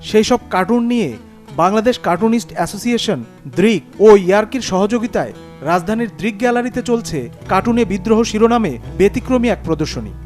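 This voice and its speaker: Man, high voice, standard Bangladeshi Bengali, scripted news feature narration, light music underneath. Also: darkish tone.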